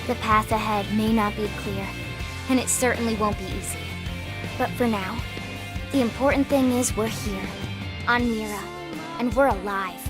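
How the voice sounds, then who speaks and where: A woman's voice: medium pitch, moderate pace, long pauses, close to an everyday voice. A woman voicing a hopeful, resolute narrator in scripted dramatic narration for a video game. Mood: hopeful, solemn. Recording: clear music under the voice.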